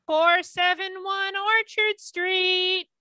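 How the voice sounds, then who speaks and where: Woman acting a very slow, high-pitched dispatcher. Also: fairly even intonation, sharp tone, exaggerated voice. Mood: impersonal. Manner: droning, drawn-out announcement. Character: impersonal female hospital dispatcher calling out addresses. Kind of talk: old-time radio drama acting.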